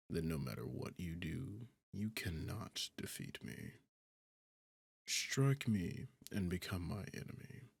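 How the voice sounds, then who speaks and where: A man voicing an arrogant, seductive demon king in an ASMR roleplay, taunting the listener in a condescending, theatrical manner with a husky, gravelly voice. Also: deep voice, a little mellow, dry recording, heavily acted. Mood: menacing, seductive. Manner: taunting, condescending, theatrical, slow deliberate phrasing.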